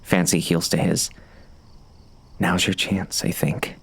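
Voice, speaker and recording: Weary gunslinger narrator, really deep n gravelly, sardonic, western audiobook. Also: male voice, flat delivery, slow pace, heavily acted. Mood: brooding. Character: wry, weary male bounty hunter narrating.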